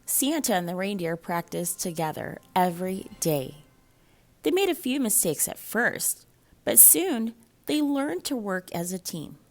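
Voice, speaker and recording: A woman reading a children's picture book aloud in an animated, measured storytelling manner with dramatic pauses, like a school librarian. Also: medium pitch, soft background music.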